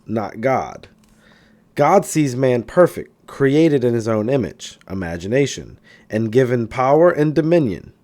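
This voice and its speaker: A male narrator reads a spiritual self-help book with a soft, mellow tone. His pitch rises and falls a good deal as he stresses key words, and he keeps a measured, teacherly delivery. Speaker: audiobook reader.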